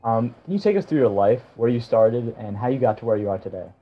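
A man hosting an interview and asking questions politely and in a measured way. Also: mid-range voice, expressive. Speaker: interview host. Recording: dull lo-fi sound with faint music.

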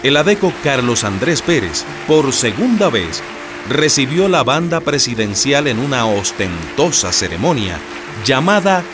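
A man narrating a political radio drama from a script, stressing key phrases deliberately. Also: mid-range voice, very animated, over music. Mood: storytelling.

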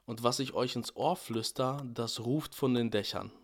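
A young man with a slightly hoarse voice from a cold, hosting a devotional podcast and explaining a Bible verse in a relaxed, searching way. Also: mid-range voice, normal speed. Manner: relaxed, explanatory, with fillers and restarts. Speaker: podcast host.

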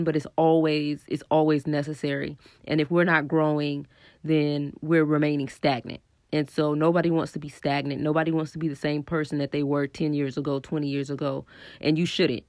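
A woman's voice: sits low, a little mellow, no room sound, clean studio sound. Stuffy-voiced woman hosting a podcast. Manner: earnest and encouraging, thinking aloud with frequent ums. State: stuffy and congested with a scratchy throat from a cold. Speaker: podcast host. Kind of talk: casual solo podcast monologue on spiritual growth.

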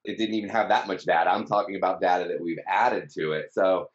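A man's voice: low voice, steady tone, quick pace. A man explaining casually in an interview as a startup team member, on a slightly dull recording.

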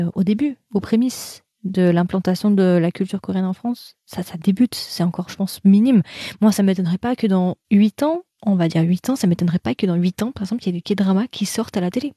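A woman's voice: medium pitch, mellow, no echo, clean studio sound. A young woman hosting a casual live chat, talking to viewers in a slangy, rambling way with many fillers. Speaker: host of a live chat about Korean dramas.

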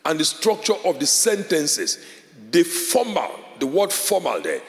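A man's voice: on the high side, huge pitch swings, unhurried, creaky. Man, Yoruba speaker, pastor teaching a class, repeats key points, checks in often, long hall reverb. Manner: explains step by step, checks in with "all right?" and "okay?"; repeats key phrases for emphasis. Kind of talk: classroom-style teaching talk.

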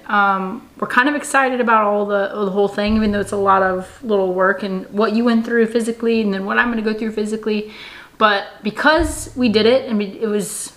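A woman's voice: medium pitch, a bit bright, rough recording. A woman in her thirties hosting her own channel, chatting casually and laughing often as she tells a personal story. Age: 30s. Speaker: channel host. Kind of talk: casual couple vlog chat.

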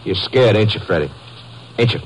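An actor playing a blunt, domineering small-time crook with an American accent in an old-time radio drama. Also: male voice, lowish voice, expressive, fairly quick, husky, low-quality recording. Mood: stern, bullying. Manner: blunt, commanding, colloquial tough talk. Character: domineering small-time male crook, ringleader, coldly persuasive. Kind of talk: 1940s-50s American radio drama acting.

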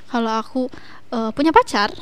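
A woman in her twenties talking as a guest on a casual podcast, relaxed, with hesitant fillers and frequent giggles. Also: fairly high pitch, normal speed, clear, resonant tone. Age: 20s. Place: coffee shop.

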